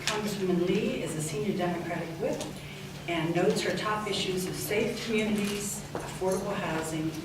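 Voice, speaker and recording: A woman's voice: mid-range voice, normal speed. A woman introducing a speaker at an event, reading with frequent "uh"s. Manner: reads with frequent fillers. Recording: echoey room, distant mic, loud noise.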